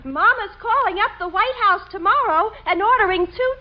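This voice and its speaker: A woman's voice: very high voice, animated, normal speed, a crowd in the background. A woman playing a chirpy, naive girlish sidekick in a 1940s radio comedy, on a muffled old broadcast.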